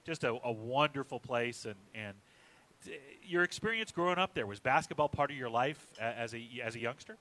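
A man's voice: medium pitch, expressive, a little fast, bright, forceful tone. A man hosting a live sports radio coaches show from a hotel casino restaurant, affable and chatty. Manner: affable, reads live ad copy.

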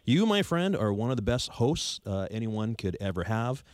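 A male radio host talks in an upbeat, chatty way, often jumping in. His voice sits a little low, and the sound is close and dry. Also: sing-song, normal speed, no room sound. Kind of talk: radio talk show.

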